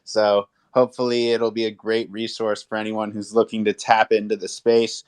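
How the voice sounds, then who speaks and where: A man with a fairly low voice and a flat, steady melody. He co-hosts a live audio chat, pitching things in casual, explanatory talk and often checking in with his listeners. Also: moderate pace, clean tone. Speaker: co-host.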